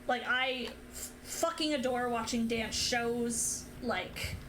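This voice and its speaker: A woman's voice: fairly high pitch, extremely slow, noisy background. A young woman streamer casually chatting and telling stories while gaming, laughing often. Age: young adult. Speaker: streamer host.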